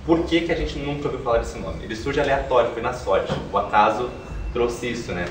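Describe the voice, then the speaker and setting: A man's voice: medium pitch, animated, fairly quick. A young man giving informal, colloquial interview answers, thinking aloud as he goes. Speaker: theatre artist being interviewed. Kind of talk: animated conversational interview answers. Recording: roomy, distant mic, faint noise.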